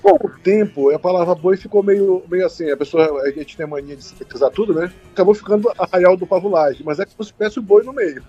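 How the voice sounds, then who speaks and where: A man chatting as an interview guest, husky and halting, often repeating words and adding tag questions. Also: high-pitched, dark tone. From Bragança, Pará, northern Brazil. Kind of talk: casual interview answers. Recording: dull lo-fi line, music behind.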